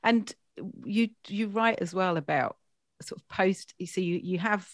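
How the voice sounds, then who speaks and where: A woman's voice: mid-range voice, unhurried, no echo, studio quality. A middle-aged woman hosting a casual interview, with informal, tentative phrasing. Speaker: interview host. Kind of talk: casual chat.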